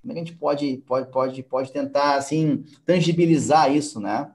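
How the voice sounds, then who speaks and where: A man's voice: on the high side, fairly even intonation, moderate pace, darkish tone. A man in his forties hosting a live video call, summing up points and inviting viewer questions, ending phrases with tag questions. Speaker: live show host. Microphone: headset over video call.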